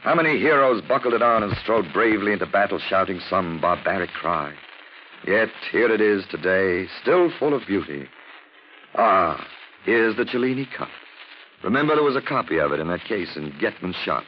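A man performing a suave gentleman detective of old radio drama, florid and dryly mocking. His low voice swings freely through its phrases. The recording is muffled and noisy. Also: animated, moderate pace, lightly acted. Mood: lofty, lyrical. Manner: urbane, florid, gently ironic. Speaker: detective and narrator. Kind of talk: 1940s radio acting.

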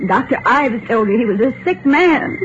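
An actress playing an anxious wife in a 1950s radio drama, speaking in a tense, pleading way. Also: female voice, a little high, animated, moderate pace, close to an everyday voice. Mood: upset. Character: anxious wife of a frightened man. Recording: dull, hissy old radio broadcast.

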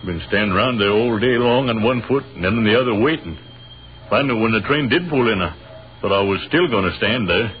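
An actor playing a polite, modest American army captain in a 1930s radio drama, with an American accent and a slightly hesitant, unassuming way of talking. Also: male voice, fairly low pitch, brisk, lightly acted. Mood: grateful, hesitant. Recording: dull, noisy 1930s radio recording.

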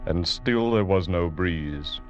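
Extremely deep male narrator, slow, solemn, old radio drama, dull recording, prominent music. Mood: solemn, weary. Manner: solemn, deliberate. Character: grave male narrator of a sea ordeal.

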